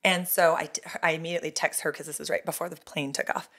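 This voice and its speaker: A middle-aged woman co-hosting a podcast with an American accent, a loud and animated storyteller in casual chat. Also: low-pitched, fast, creaky. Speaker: podcast co-host.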